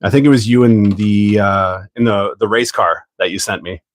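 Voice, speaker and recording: A man from Canada hosting a hobby livestream, talking in a rambling, relaxed way full of ums while stuffed up with a cold. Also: fairly low pitch, normal speed, dry recording, clean studio sound. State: congested from a cold. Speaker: livestream host. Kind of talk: casual livestream chat while building.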